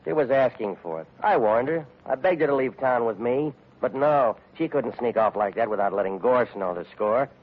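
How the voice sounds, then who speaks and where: Surly American tough guy, acted by a man, mid pitch, curt hostile delivery, 1940s radio drama, phone-like recording. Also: lively intonation, normal speed, few pauses, natural voice. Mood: bitter, defensive. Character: surly, combative tough young brother.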